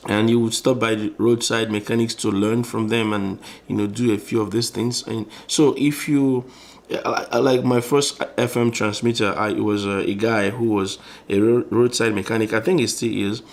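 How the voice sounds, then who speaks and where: Low-pitched man explaining a hands-on tutorial. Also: steady tone, normal speed. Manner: explanatory, conversational, frequently rephrasing. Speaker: electronics tutorial presenter. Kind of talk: informal hands-on tutorial narration.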